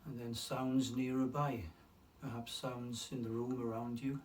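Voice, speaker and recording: Male meditation guide, medium pitch, calm and unhurried with long pauses, recorded a little away from the mic over faint noise. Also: recorded from a distance.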